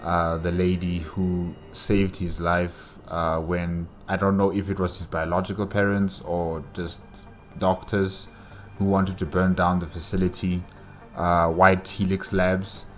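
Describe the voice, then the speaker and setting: A man hosting a reaction video, giving a casual, rambling solo recap and repeating his phrases. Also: low voice.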